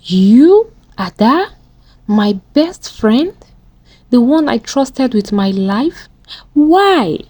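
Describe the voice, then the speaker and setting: Loyal best friend, young female done by a woman narrator: emotional, earnest, slow folktale delivery. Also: a little high, very animated, a little mellow, pitched above the performer's own voice, exaggerated voice. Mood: hurt, shocked.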